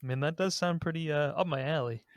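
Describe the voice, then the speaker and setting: A man's voice: a little high, fast, no room sound, clean studio sound. A man talking casually and giving his opinions as a podcast co-host.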